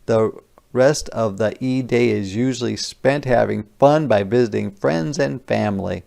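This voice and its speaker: A man teacher reading a children's picture book aloud, adding simple, deliberate explanations for young listeners. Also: medium pitch, creaky, a little mellow. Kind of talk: interactive children's read-aloud with explanatory asides.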